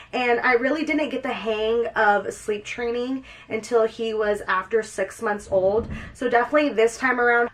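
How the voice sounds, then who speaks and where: A young woman with a slightly high voice talks casually to camera at home, chatty and upbeat. She has a cold, so she sounds a little stuffy and nasal. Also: a bit bright. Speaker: vlogger. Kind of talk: casual product walkthrough.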